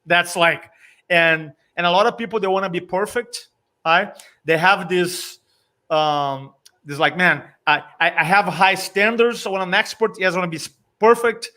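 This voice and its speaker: A man in his fifties from Brazil with a Brazilian Portuguese accent, a communication coach telling stories in a lively way and acting out his own reactions. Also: high voice, moderate pace. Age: 50s. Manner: animated storyteller, acts out his own reactions. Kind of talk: casual interview chat.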